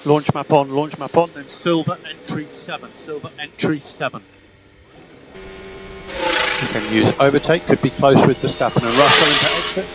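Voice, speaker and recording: A man working as a race engineer, giving clipped, procedural instructions over team radio and often repeating them. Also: mid-range voice. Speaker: Formula One race engineer. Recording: team radio with loud vehicle noise.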